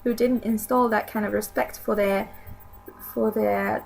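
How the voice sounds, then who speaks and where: Slow, gravelly young woman. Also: medium pitch, steady tone, darkish tone, slight background noise, rough recording. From Sweden. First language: Swedish. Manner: hesitant and rambling, many restarts. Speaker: YouTuber. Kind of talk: casual chat to camera.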